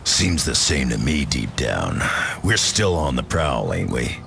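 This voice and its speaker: Extremely deep, growling gruff brawler. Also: male voice, very animated, unhurried, fairly bright tone, slight background noise, exaggerated voice. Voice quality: growly, gravelly. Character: gruff, feral tough-guy mutant brawler. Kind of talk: video game voice acting.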